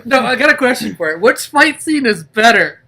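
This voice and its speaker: A man's voice: extremely high pitch, very animated, moderate pace, creaky, bright, forceful tone. A man co-hosting a casual movie-review chat in a jokey, giggly manner. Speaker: podcast co-host.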